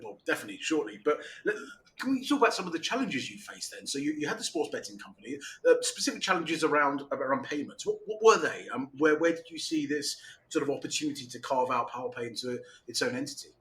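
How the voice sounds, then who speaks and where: A man's voice: a little high, expressive, quick pace, a bit bright. A man hosting a podcast in a casual interview style, putting questions to his guest. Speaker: podcast host.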